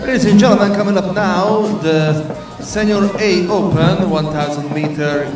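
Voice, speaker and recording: A man's voice: high voice, moderate pace. A man with a Hungarian accent doing formal, projected bilingual race announcements over a public address system. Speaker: event announcer. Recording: distant echoing loudspeaker over loud music.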